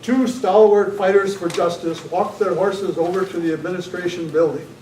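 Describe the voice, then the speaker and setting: A man's voice: sits high, steady tone, normal speed, darkish tone. A man reading scripted narration and announcements in the style of an old-time radio show, as an amateur. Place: club meeting room. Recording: roomy, distant camera mic, faint noise.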